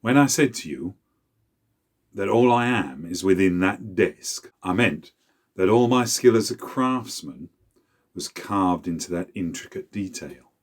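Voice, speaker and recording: A man voicing the spirit of an old male wood elf, a craftsman father, speaking deep and grave. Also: lively intonation, measured pace, breathy edge, heavily acted. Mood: solemn, tender.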